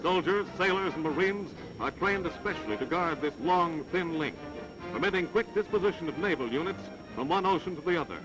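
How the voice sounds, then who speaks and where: 1940s newsreel announcer, man, over loud music. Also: a little high, lively intonation, brisk, rough recording. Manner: emphatic, stirring announcer delivery. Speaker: newsreel narrator. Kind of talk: scripted newsreel narration.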